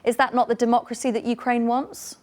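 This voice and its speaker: A woman with a British accent hosting a radio phone-in, brisk and inviting. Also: fairly high pitch, flat delivery. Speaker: radio host.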